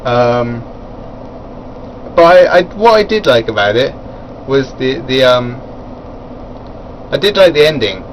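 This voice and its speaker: A man's voice: mid-range voice, very animated, slow, long pauses, slight background noise, rough recording. A man giving a casual, rambling spoken review of a wrestling event, full of fillers and chuckling at his own asides.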